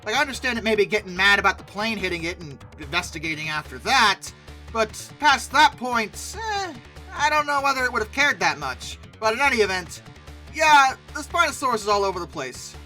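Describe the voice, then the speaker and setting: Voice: mid-range voice, sing-song, brisk, bright, forceful tone, poor audio. A video host giving casual scripted commentary in a conversational, wry way, with playful emphasis and asides. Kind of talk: casual scripted commentary rating film dinosaurs. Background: background music.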